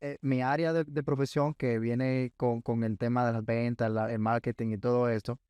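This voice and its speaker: A man hosts a podcast with a smooth, clear voice recorded dry and close. He talks in a reflective, agreeable way and builds on what his guest has said. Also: medium pitch, little pitch movement, moderate pace, darkish tone, no room sound. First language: Spanish. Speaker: podcast host. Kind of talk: casual interview chat.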